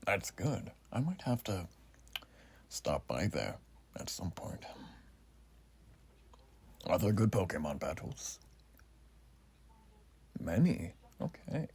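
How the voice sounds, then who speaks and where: Earnest revolutionary soldier for ASMR roleplay, played by a man: low, very husky and whispery, formal, courteous, fiercely patriotic. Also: sing-song, slow pace, long pauses, a little mellow, full character voice. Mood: friendly, chatty. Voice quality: breathy, often dropping to a whisper. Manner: polite, formal, quaintly old-fashioned phrasing. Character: earnest, bitterly patriotic revolutionary army soldier giving recruit checkups. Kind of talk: soft-spoken ASMR roleplay.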